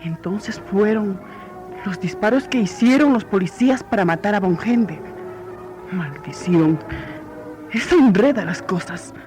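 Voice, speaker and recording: Radio drama schemer, acted, slow conspiratorial delivery, theatrical, loud music behind. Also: medium pitch, lively intonation, lightly acted. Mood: alarmed, scheming. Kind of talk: dramatic radio serial acting.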